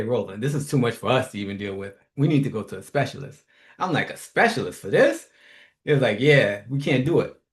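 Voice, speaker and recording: A man from New York, originally from Haiti, hosting a casual, unscripted online show, blunt and rough around the edges, often checking in with "right?". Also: mid-range voice. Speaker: host, property manager and investor. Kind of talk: casual unscripted YouTube monologue.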